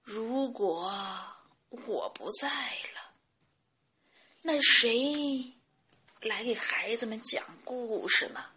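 A woman voicing a kind, weary old grandfather rooster storyteller, slow and sighing, with a melancholy air. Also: mid-range voice, very animated, bright, forceful tone, muffled recording, heavily acted. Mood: weary, sorrowful. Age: older. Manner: slow, sighing, chanting delivery.

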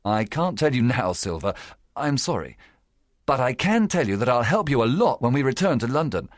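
A man voicing a stern, principled gentleman doctor in an audiobook. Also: mid-range voice, sing-song, normal speed, dry recording, clean studio sound, lightly acted. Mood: grave, careful. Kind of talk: audiobook character acting.

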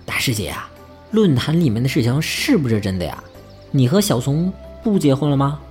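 Actor as gossipy gamer guy, animated pitch. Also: a little fast, faint music behind, natural voice. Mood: curious, dismayed. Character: inquisitive young male online gamer, junior sect member. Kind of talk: modern audio drama acting.

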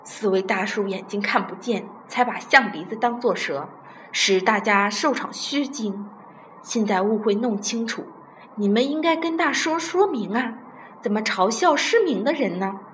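A kindly rich landowner from a children's story, voiced by a woman narrator. The delivery is measured and patient, with gentle authority. Some background noise is present. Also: medium pitch, lively intonation, lightly acted, poor audio. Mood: gently scolding. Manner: measured, reassuring. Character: kindly wealthy squire, patient and admonishing.

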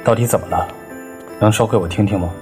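An actor playing a shallow, self-centred young boyfriend in an audio drama. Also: male voice, on the low side, quick pace, lightly acted. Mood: considerate. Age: young adult. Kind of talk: Chinese audio drama acting. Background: piano and instrumental music.

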